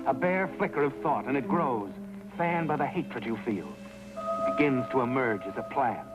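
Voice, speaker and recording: An actor playing a sinister, all-knowing narrator who taunts a wrongdoer in a dramatic, insinuating 1950s suspense style. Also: male voice, mid-range voice, lively intonation, moderate pace, lightly acted. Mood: ominous, taunting. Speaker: narrator of a crime anthology show. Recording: muffled old broadcast soundtrack with loud music. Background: dramatic background music.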